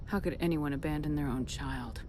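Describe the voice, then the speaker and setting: A woman's voice: deep voice, brisk, background noise, lightly acted. A woman playing a composed military commander in a video game, asking things in a direct, plain-spoken way. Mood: curious. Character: composed, direct female military commander, probing yet sympathetic. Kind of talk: video game dialogue acting.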